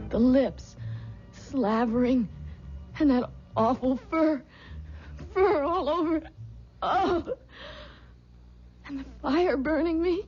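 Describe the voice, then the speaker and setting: An actress playing a terrified, sensitive young bride in old-time radio horror, breathless and anguished. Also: female voice, high-pitched, darkish tone. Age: young adult. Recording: dull radio recording with music.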